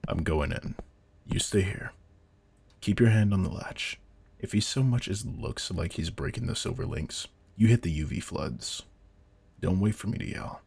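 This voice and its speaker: A man playing a veteran vampire hunter in an audio drama, a controlled, intense mentor who speaks in a deliberate, commanding, quietly menacing way. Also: deep voice, animated, full character voice. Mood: urgent, commanding. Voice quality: gravelly, husky. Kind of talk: scripted roleplay audio drama.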